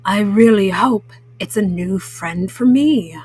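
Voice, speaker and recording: Woman doing a low-voiced, laid-back storybook tomcat. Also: takes it slowly, a little mellow, breathy edge. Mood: easygoing, friendly. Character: easygoing, kindly male cartoon cat, cool and encouraging.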